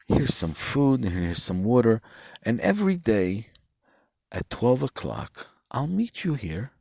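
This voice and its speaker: A man's voice: mid-range voice, very animated, measured pace, vocal fry, darkish tone, slightly rough, dry recording, pitched above the performer's own voice, full character voice. Guy doing a chill kind old prophet, easygoing. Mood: kindly. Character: disguised prophet, calm and kindly man.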